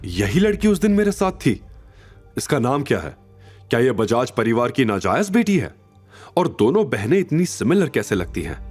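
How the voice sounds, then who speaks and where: A man's voice: mid-range voice, big pitch swings, normal speed, a little mellow, slightly rough, faint music behind. A man playing an intense, lovestruck and powerful young boss in an audio drama. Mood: shaken. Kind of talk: Hindi audio drama acting.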